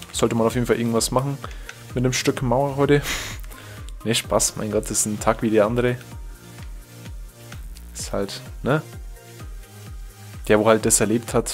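A young man from Germany, a gaming YouTuber chatting casually over his gameplay, rambling and correcting himself, reacting loudly to the game. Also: on the low side.

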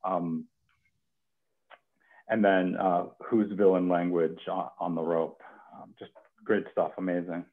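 Man, thirties, very low voice, reading host, phone-like video call sound. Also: little pitch movement, slow pace, pauses often. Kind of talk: hosting and reading poetry. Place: home kitchen. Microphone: headset on video call.

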